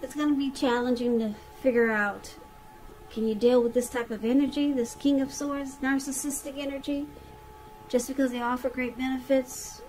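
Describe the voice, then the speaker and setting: A woman's voice: high-pitched, darkish tone, low-quality recording. A woman giving a conversational tarot reading straight to the listener, repeating phrases for emphasis. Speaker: tarot reader.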